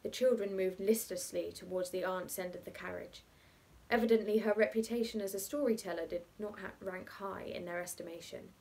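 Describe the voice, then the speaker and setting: A young adult woman reads a literary short story aloud in a measured, articulate, expressive way. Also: medium pitch, gravelly.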